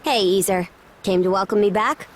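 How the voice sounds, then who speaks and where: A woman's voice: mid-range voice, moderate pace, slight background noise, natural voice. Woman as frank young seafaring princess. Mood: brisk, frank. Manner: casual, matter-of-fact. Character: frank, capable young seafaring chieftain's daughter. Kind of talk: video game cutscene acting.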